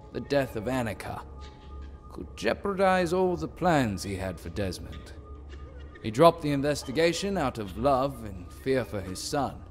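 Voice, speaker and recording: A stern, mysterious judge in a horror game. The voice sits fairly low, solemn and probing, putting hard choices in dramatic monologue. Faint music plays underneath. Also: male voice. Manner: solemn, pointed, questioning. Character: stern, mysterious male judge weighing others' crimes.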